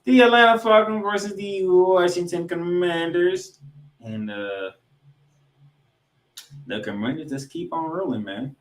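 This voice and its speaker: A man's voice: high voice, unhurried, long pauses. A man co-hosting a sports podcast, giving football recaps with emphatic, announcer-like delivery of scores and stats mixed with casual banter.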